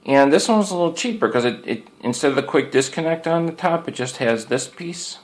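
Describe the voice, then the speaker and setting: A man's voice: on the high side, expressive, fairly quick. A man giving a casual, unscripted product review as a hobbyist, rambling in a folksy way and circling back over his points. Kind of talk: casual unscripted show-and-tell.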